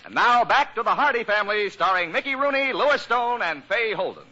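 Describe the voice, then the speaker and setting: A man's voice: high voice, expressive, brisk. A man announcing a 1940s radio show with grand, theatrical scripted delivery. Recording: muffled 1940s radio recording. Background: loud music.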